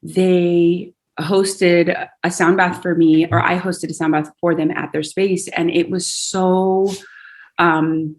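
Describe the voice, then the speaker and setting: A woman's voice: on the low side, little pitch movement, slow pace. A woman from Hawaii, a singer and yoga teacher, talking in an earnest, reflective way as a podcast guest. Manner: earnest and reflective, with fillers and restarts. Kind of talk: casual conversation.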